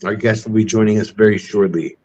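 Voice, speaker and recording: A man hosting a live stream in casual chat, relaxed and welcoming. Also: fairly low pitch, fairly quick. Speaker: host. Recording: muffled lo-fi audio.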